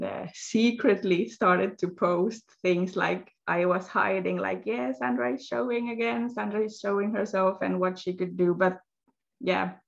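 A woman speaking slowly and haltingly, pausing to search for her words. Her lowish voice has a gravelly fry to it. The audio sounds dull and lo-fi. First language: Swedish. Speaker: guest, health coach. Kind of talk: interview storytelling.

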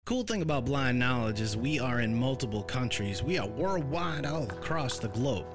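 Lively man announcing over music. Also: medium pitch, moderate pace. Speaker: promo announcer. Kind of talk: promotional spot.

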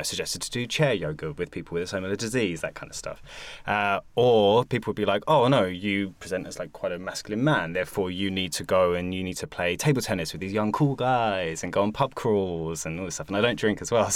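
Low-voiced young adult guest, dry sound. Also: male voice, no echo. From England. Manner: reflective, earnest, many fillers. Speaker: guest, support group founder. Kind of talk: conversational interview.

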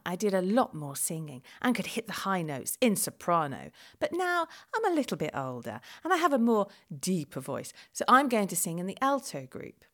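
A middle-aged woman from England telling a chatty, self-deprecating diary-style account of her experiences. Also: lowish voice. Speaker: vlog presenter. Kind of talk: first-person diary narration.

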